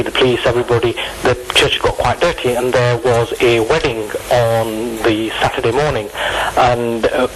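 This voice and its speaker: A man's voice: mid-range voice, a bit bright. A man with a British accent giving an informal phone interview on the radio as a charity representative, earnest and modest. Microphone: telephone. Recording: noisy phone line into radio.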